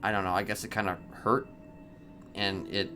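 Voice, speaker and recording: A man with a fairly deep voice speaks in an improvised roleplay conversation. He is hesitant and tends to repeat the starts of his phrases, with faint music playing underneath. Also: level tone, normal speed. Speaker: roleplay game player.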